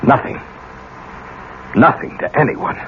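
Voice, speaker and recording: A man's voice: takes it slowly, close to an everyday voice. An actor playing a middle-aged American college professor, a protective and commanding father who probes firmly, in 1970s radio drama style. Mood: commanding, resolute. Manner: probing, firm, authoritative. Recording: muffled, noisy old radio recording.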